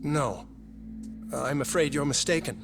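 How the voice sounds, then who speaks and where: An actor playing a grave, zealous scientist in a video game cutscene, speaking in a measured, formal, insistent way. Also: male voice, a little high, creaky, over music. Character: coldly obsessed male scientist, grave and zealous. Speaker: medical researcher.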